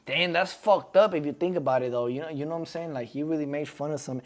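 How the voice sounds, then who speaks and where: A man's voice: on the high side, quick pace. A man in his twenties rambling in slangy casual commentary as he reacts on stream, constantly checking in with his chat. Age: 20s. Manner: slangy and rambling, keeps asking the chat "you feel me". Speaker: streamer reacting to a podcast clip.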